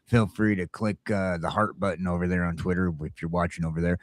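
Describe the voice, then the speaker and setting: A man with a very low voice hosts a laid-back morning show. He talks in a folksy, jokey way with casual radio-style patter. The sound is dry and close, with no room around it. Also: level tone, a little fast, no room sound. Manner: folksy, jokey greetings and wordplay. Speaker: talk show host.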